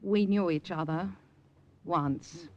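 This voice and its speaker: An actress playing a guarded, emotional young cabaret singer in a 1950s radio spy drama. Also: female voice, lowish voice, slow, darkish tone, dry recording, lo-fi sound. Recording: old radio drama, faint music behind.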